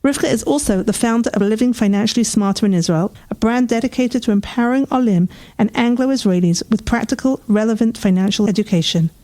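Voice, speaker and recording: A woman's voice: a little high, quick pace, few pauses. A woman hosting an interview podcast, reading a guest introduction and then asking questions. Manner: warm-up reading then lively reactions. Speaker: podcast host.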